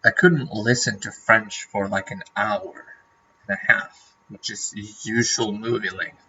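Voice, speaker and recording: Streamer, Norwegian-born man, bright husky voice, rambling casual chat, dull lo-fi audio. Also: lowish voice, fairly even intonation, unhurried. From Norway. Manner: rambling, many fillers and restarts.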